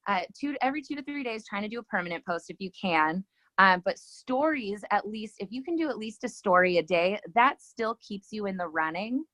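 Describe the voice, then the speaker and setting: A woman's voice: mid-range voice, fairly bright tone, no echo, rough recording. A woman guest giving casual social media advice, rambling with many ums and restarts.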